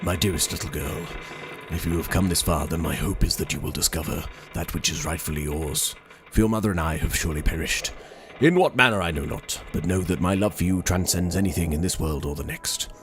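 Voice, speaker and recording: A man's voice: low voice, brisk, noisy background, voice pushed down, close to an everyday voice. A man reading a game journal aloud as an 18th-century sea captain writing a solemn, formal letter to his daughter.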